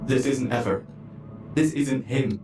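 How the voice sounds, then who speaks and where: A man's voice: medium pitch, measured pace, music in the background, natural voice. An actor playing a worried, hesitant young man, an uneasy companion in a sci-fi game. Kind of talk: cinematic video game acting. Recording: echoey, distant from mic.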